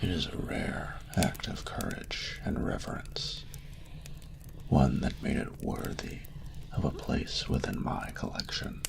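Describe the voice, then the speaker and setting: Very deep, gravelly flirtatious dragon. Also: male voice, wildly swooping pitch, heavily acted. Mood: hushed, reverent storytelling. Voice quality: gravelly, breathy, half-whispered rumble. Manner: formal, grandiose, unhurried wording. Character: flirtatious, proud male dragon hoarding treasures. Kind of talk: slow, intimate romantic audio roleplay.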